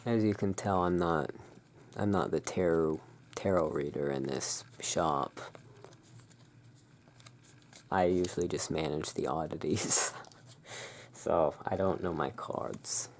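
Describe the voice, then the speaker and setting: A person playing the soft-spoken, musing owner of a gothic curiosity emporium in a halting, hushed ASMR roleplay. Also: very deep voice. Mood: musing, relaxed.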